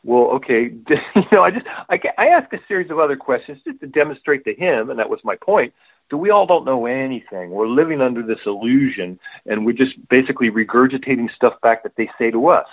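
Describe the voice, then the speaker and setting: A man's voice: medium pitch, expressive, fairly quick, breathy edge. Rambling male guest, wordy, tinny phone line. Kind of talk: casual chat.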